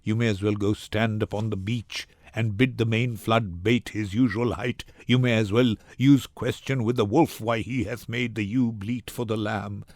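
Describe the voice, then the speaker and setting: A man's voice: fairly low pitch, fairly even intonation, normal speed, hardly any pauses, a little mellow, dry recording, clean studio sound, lightly acted. A man acting a resigned, stoic merchant with solemn, rhetorical delivery in a Shakespearean dramatic reading. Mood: bitter resignation. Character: resigned, stoic merchant Antonio, bitterly patient.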